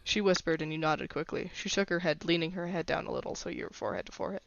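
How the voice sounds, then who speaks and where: Female story narrator, mid pitch with plenty of vocal fry, dry and slightly muffled lo-fi sound. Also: fast talker, no echo. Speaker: fan fiction reader.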